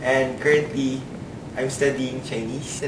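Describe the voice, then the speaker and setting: A man's voice: fairly even intonation, unhurried. Upbeat man from the Philippines. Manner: upbeat, laughing easily. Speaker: student. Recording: distant echoey room with background rumble.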